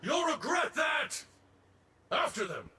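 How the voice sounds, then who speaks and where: A man voicing a gruff, menacing henchman soldier in video game acting style. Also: very high voice, huge pitch swings, takes it slowly, sharp tone, roomy sound, shouting, far from the mic, a little hiss or hum, exaggerated voice. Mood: threatening.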